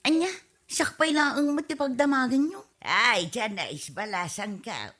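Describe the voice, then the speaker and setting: A woman's voice: a little high, expressive, measured pace, bright, forceful tone, heavily acted. A woman with an Ilocano accent playing a nagging, complaining wife and auntie in a whiny, scolding comedy radio drama style.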